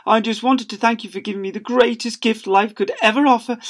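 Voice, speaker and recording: Man playing a gushing, high-pitched doting dad. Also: fairly even intonation, fairly quick. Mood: adoring. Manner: syrupy, sing-song baby talk. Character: gushing, weepy doting father teacher, saccharine baby talk.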